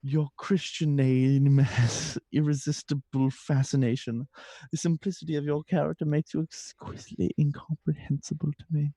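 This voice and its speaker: A man's voice: on the high side, steady tone, normal speed, dark tone, no room sound. A man reading an affected, self-possessed young upper-class lady in love, with formal, gushing theatrical delivery, in a stage comedy. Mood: affected, romantic.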